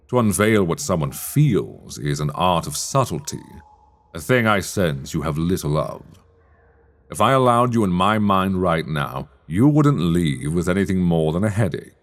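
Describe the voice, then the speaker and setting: A man performing older male sorcerer, very low creaky voice, silky menace, teasing condescension, fantasy ASMR roleplay, long cavernous reverb. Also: big pitch swings. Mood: dry, haughty. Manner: deliberate, condescending, silky, teasing, formal diction. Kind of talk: slow dark fantasy ASMR roleplay acting.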